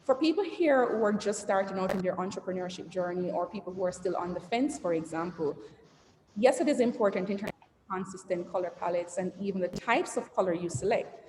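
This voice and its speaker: A woman's voice: medium pitch, brisk. A woman executive giving a conversational, interactive branding presentation to entrepreneurs over a video call. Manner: conversational, asks the audience and reads the chat aloud, trails lists with "et cetera". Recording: roomy reverberant sound with faint noise.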